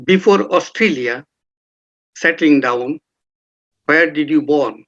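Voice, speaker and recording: A man's voice: fairly high pitch, slow, a bit bright. A man interviewing a guest in a formal way, with frequent short acknowledgements. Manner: formal, repeats acknowledgements like "yes" and "right". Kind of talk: podcast interview questions. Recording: dull lo-fi sound.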